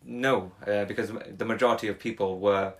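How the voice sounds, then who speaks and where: A man's voice: on the low side, steady tone, a little fast, slight background noise. A young man, talking casually as a podcast guest, rambling with many fillers and restarts. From Wales. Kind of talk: casual conversation.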